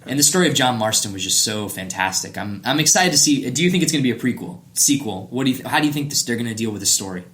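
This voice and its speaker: A man's voice: medium pitch, expressive, rapid-fire, few pauses, fairly bright tone. A man hosting a casual gaming podcast, chatting in an enthusiastic, opinionated way. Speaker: podcast host.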